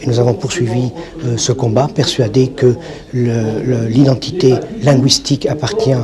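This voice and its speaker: A man's voice: mid-range voice, little pitch movement, normal speed. A male trade unionist giving a press conference speech, hesitant and full of fillers. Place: press conference in a crowded room. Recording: crowd noise behind.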